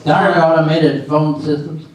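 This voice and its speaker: A man playing a grumpy, forgetful husband in a comic readers theater, halting and exasperated as he grumbles. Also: on the high side, level tone, darkish tone, lightly acted. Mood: grumbling. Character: grumpy, befuddled, forgetful husband fighting phone menus. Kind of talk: readers theater comedy acting. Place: live readers theater stage. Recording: echoey hall, distant mic, noisy.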